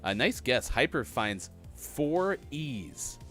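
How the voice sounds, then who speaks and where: Man, host, lively pitch, upbeat, laughs often, faint music behind. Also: slow pace. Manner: upbeat, reads daily trivia, laughs often. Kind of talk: casual chat on a morning gaming stream.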